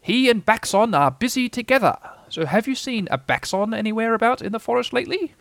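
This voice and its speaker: A man's voice: sits high, animated, a little fast, creaky, fairly bright tone, no echo, pitched above the performer's own voice, heavily acted. A man voicing a nervous, pompous storybook owl who feigns wisdom in a hesitant, faltering way. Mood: grave, insistent. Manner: hesitant, faltering, evasive bluffing. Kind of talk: storybook character voice.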